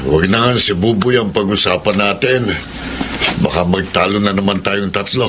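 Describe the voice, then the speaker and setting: A man's voice: on the low side, normal speed, background noise, close to an everyday voice. A man playing a peacemaking, teasing elderly father in a radio drama. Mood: gently teasing.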